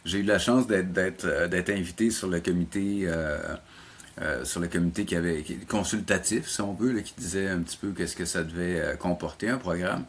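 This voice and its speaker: A middle-aged man with a Quebec French accent speaks in a low register. He talks as an interview guest, in a conversational, halting way, often doubling back on words as he explains. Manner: halting, repeats words while recounting. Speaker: college animation teacher, interview guest.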